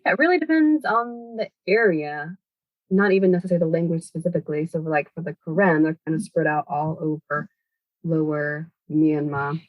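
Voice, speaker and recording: A woman's voice: low-pitched, no echo, low-quality recording. A woman guest on a podcast interview, giving long, thoughtful answers full of hedges like "kind of" and "sort of". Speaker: podcast guest, language education organizer. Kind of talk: explanatory conversational interview answers.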